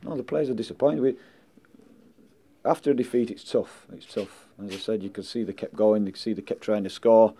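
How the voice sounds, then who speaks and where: A middle-aged man and football manager answering post-match questions indoors, measured and blunt, often saying "listen" and "um" into handheld mics. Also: lowish voice, fairly even intonation, warm tone. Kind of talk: post-match press interview. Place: indoors in front of a sponsor board. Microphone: handheld mics held close.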